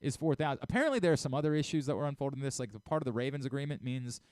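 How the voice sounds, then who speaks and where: Guy hosting sports talk radio, smooth clear voice, emphatic, repeats himself, dry close studio sound. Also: medium pitch, animated, rapid-fire, a little mellow, no echo. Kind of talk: casual talk radio discussion.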